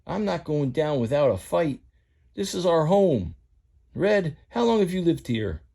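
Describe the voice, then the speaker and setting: A man's voice: a little high, sing-song, unhurried, vocal fry, warm tone, breathy edge, voice pushed up, full character voice. A man voicing a folksy, good-hearted male tow truck in a storybook reading. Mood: rousing.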